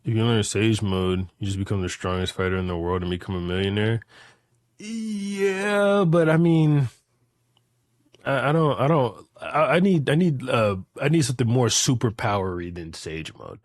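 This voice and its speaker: A man's voice: lowish voice, lively intonation, moderate pace, no room sound, studio quality. A man in his late twenties chatting casually and rambling through hypotheticals, repeating phrases emphatically with profane slang. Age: late 20s.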